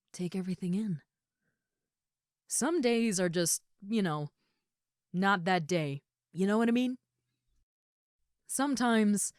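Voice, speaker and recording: A woman plays a towering, muscular lady ogre with a tender heart. She speaks very slowly and earnestly, sometimes hushed, in a slightly low voice. It is an intimate comfort roleplay, recorded close and dry. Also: no room sound. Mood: soothing, understanding. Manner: soothing, earnest, hushed at times, with pauses and sighs. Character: gentle, caring seven-foot-six muscular female ogre roommate.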